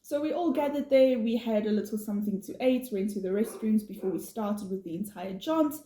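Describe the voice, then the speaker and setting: A woman from South Africa, not a native English speaker, co-hosting a vlog and explaining things in a steady, informative way with some fillers. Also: mid-range voice, brisk. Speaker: vlog co-host. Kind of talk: casual chat, explaining. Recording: echoey room.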